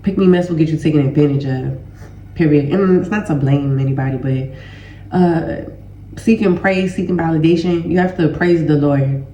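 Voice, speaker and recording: A woman's voice: sits low, moderate pace, dark tone, slight background noise. A woman with a stuffy nose and sore throat, talking casually to viewers as a healing educator and host, loose and conversational. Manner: conversational, loose, addresses listeners as y'all. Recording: roomy echo.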